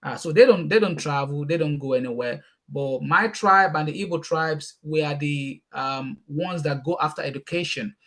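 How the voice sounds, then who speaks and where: A man from Nigeria with a Nigerian accent, whose first language is Yoruba, speaking as a guest on a leadership panel and talking passionately. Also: fairly high pitch. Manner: passionate, fast and emphatic, repeating words when excited. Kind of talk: panel talk answering questions.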